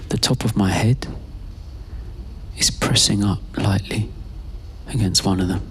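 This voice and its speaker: A man giving a slow, scripted first-person spoken-word narration in a hushed, deliberate way, pausing mid-phrase. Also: lowish voice, a little hiss or hum. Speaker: performer of own monologue. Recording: loud music over the voice. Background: loud music.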